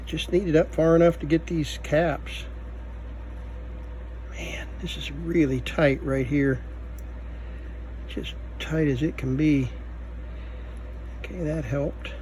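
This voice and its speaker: A man giving unscripted hands-on repair commentary, halting and thinking aloud as he works. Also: on the high side, darkish tone. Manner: halting, muttering thoughts aloud while working. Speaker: vintage electronics repair video host. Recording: faint background noise.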